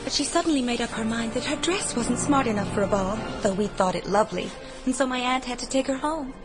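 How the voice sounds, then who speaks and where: A fairly high female voice of an actress as an innocent young fiancée in a period drama. She is sweet, gentle and well-mannered. Music plays clearly behind her. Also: fast talker, natural voice. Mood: sweet, affectionate. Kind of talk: period audio drama acting.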